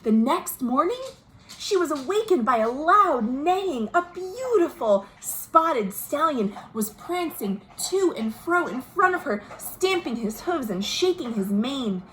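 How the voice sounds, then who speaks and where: Slow, higher-voiced woman reading to children. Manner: expressive storytelling with asides and questions to young listeners. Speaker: children's librarian hosting virtual storytime. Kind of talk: read-aloud picture book narration for children. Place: at home. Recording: noisy home recording.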